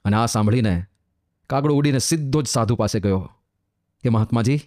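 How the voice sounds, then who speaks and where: A man's voice: mid-range voice, a little fast, mellow, no echo, clean studio sound. A man with a Gujarati accent, a monk telling a moral fable in a sermon, with repetition, who acts out the birds' lines himself. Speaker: monk giving a discourse. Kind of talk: sermon storytelling.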